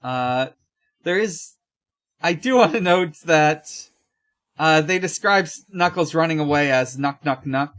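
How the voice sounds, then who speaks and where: A podcast host with an unhurried, slow pace and a medium-pitched voice. The delivery is casual and low-key, halting along through a recap as if chatting with friends. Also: animated, a bit bright. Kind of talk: casual comic recap chat.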